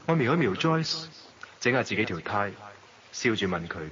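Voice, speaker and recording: An actor playing a suave, worldly gentleman narrating and talking in a radio drama, with a Hong Kong Cantonese accent. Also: on the low side, very animated, normal speed. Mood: reflective, measured. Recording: dull lo-fi audio, noisy background.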